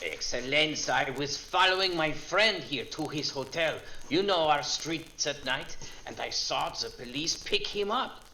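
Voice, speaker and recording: A man playing an excitable, ingratiating Lisbon informant in a 1940s-style radio drama, pleading and smooth-talking. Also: on the high side, measured pace, few pauses, background noise. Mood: ingratiating, urgent.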